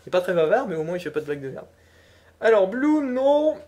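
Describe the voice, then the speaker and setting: A young woman co-hosting a gaming talk show live stream, teasing and animated as she runs a guessing game. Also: medium pitch, slight background noise. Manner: teasing, animated, quick laughs. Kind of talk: playful livestream quiz chat.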